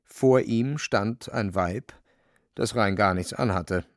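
A male narrator tells a literary story for radio drama with measured wryness. His voice has a creaky grain and sits close in a dry studio sound. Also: lowish voice, dry recording.

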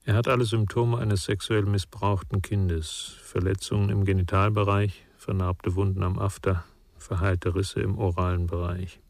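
An actor playing a reflective older man, once a war refugee, speaking in a measured, confiding way in a radio drama. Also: deep voice, level tone, dry recording. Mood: grave. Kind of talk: German radio crime drama acting.

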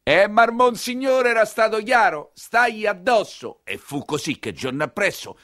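An actor playing a wry, earthy nineteenth-century coachman who tells his story in colloquial Roman, in a grumbling, expressive stage monologue. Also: sits high, normal speed, no echo, clean studio sound. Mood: grumbling, animated.

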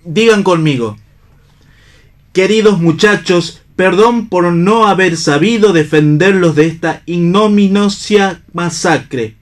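A man's voice: sits high, takes it slowly. An Argentine man playing a bitter, idealistic, disillusioned stage actor in a radio theatre reading. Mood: impassioned, oratorical.

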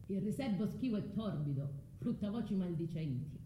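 An actress with a southern Italian accent playing a proud, vengeful, scorned foreign wife in a declamatory radio drama monologue. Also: female voice, mid-range voice, lively intonation, moderate pace, mellow, reverberant, a little hiss or hum, close to an everyday voice, poor audio. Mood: bitter, reflective.

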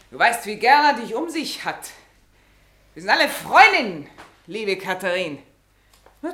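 An actress in a radio play playing an aging, hurt stage actress who is mocking and defiantly merry. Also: female voice, a little high, fairly bright tone, heavily acted. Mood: forced merriment. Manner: mocking, forced gaiety with laughter. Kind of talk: theatrical German radio play acting.

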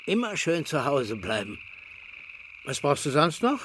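An actor playing a fussy, methodical retired explosives expert in a German crime radio drama, speaking calmly and precisely. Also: male voice, on the high side, a little slow, a little hiss or hum. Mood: calm, informative.

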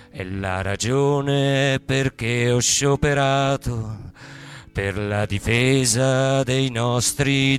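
A man sings a folk protest ballad in a storytelling style. His delivery is very slow and drawn out, in a medium-pitched voice, with music accompanying him. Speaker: singer and theatre performer. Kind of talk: narrative Italian folk protest singing.

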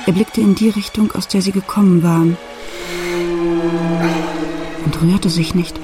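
An actress playing a grown daughter who retells her family's past in measured, matter-of-fact radio play narration. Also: on the low side, steady tone, over music, lightly acted. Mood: measured, reflective.

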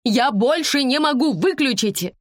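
Woman acting a shrill, exasperated mother. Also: sing-song, moderate pace, a little mellow, breathy edge, no echo, studio quality. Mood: hysterical anger. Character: overwrought, haughty, snappish mother at breaking point.

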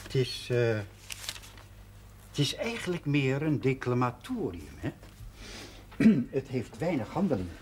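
An actor playing an earnest, pompous amateur playwright in a television drama, halting and theatrical. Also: male voice, medium pitch, big pitch swings, darkish tone. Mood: earnest, hesitant. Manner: hesitant, halting, declamatory. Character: earnest, pompous amateur playwright presenting his lofty verse. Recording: noisy old television broadcast audio.

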